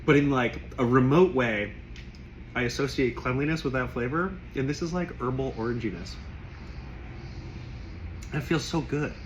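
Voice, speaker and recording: A man whose voice is going hoarse after a cough. He talks in loose, rambling bursts about the wine in front of him, pausing to clear his throat. His pitch sits in the middle, and faint room noise hums behind him. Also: poor audio. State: losing his voice. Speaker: wine shop owner tasting wines. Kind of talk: casual tasting video.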